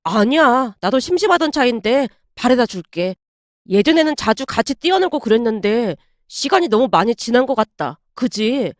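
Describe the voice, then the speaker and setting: A friendly young man's part in a story drama, read by a woman in a high voice. The manner is gentle and casual. The sound is dry. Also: very animated, moderate pace, no echo. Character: friendly, considerate young man, the story's son.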